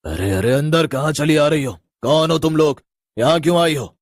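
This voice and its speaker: A man's voice: on the high side, expressive, normal speed, no room sound, studio quality. A man playing a stern tantric sorcerer in a cartoon horror story, speaking in an emphatic, admonishing way. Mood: angry. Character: stern tantric sorcerer who keeps captive ghosts. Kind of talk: animated horror story dialogue.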